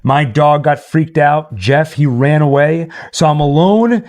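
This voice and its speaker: A man's voice: fairly high pitch, a little slow, a little mellow. A man hosting a livestream, chatting casually and telling stories in a theatrical, dramatic manner. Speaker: livestream host. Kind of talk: casual storytelling and chat to livestream viewers.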